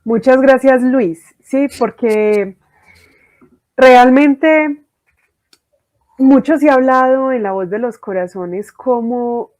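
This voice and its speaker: Medium-pitched woman hosting a reflective talk. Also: darkish tone. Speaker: host of a spiritual talk show. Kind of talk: reflective spoken commentary.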